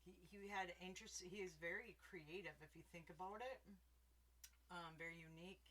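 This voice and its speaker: A middle-aged woman hosting a casual podcast, a little drunk and slurring slightly, laughing loudly as she chats from her bed at home. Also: fairly low pitch, fairly even intonation, a little fast. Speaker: podcast host. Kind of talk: casual tipsy chat. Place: bedroom in a trailer home.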